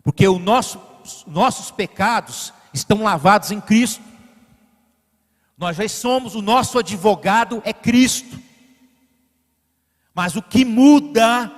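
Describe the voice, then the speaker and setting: A man from Brazil preaching a sermon as pastor, building to emphatic peaks and then falling to quiet asides. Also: high-pitched, expressive, unhurried, pauses often, long reverb tail. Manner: directs the slide operator mid-sermon. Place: church sanctuary.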